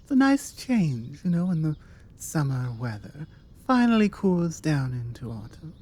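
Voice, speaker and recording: British female vampire, played by a woman, forward and self-assured, low mellow voice for ASMR roleplay. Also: big pitch swings, a little slow, full character voice. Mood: polite, chatty. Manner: chatty, self-assured, playfully insistent. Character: forward, confident female vampire making flirty small talk.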